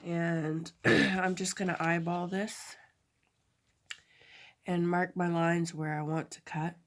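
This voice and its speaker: A woman with a stuffy nose from a cold, chatting through a craft tutorial at her table, halting often and correcting herself. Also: on the low side, flat delivery. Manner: halting, chatty, many fillers and self-corrections. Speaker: crafter demonstrating a project.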